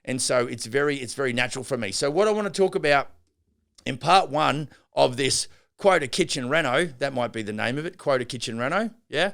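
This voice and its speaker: Gravelly, smooth-voiced rambling podcast man. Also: medium pitch, animated, a little fast, fairly bright tone. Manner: rambling, many restarts and fillers. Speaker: podcast host and builder. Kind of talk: unscripted business podcast monologue.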